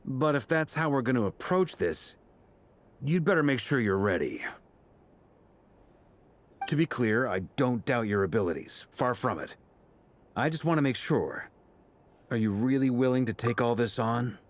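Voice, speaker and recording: An actor playing a steady, commanding knight grand master in a video game, speaking with measured authority. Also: male voice, medium pitch, close to an everyday voice. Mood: earnest, concerned.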